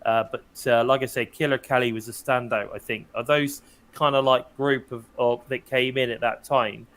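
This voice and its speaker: British male podcast co-host, mid-pitched, a bit croaky today, relaxed and chatty. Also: moderate pace. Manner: chatty, leads the discussion and hands over to others. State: slightly croaky, hoarse. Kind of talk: casual wrestling podcast chat.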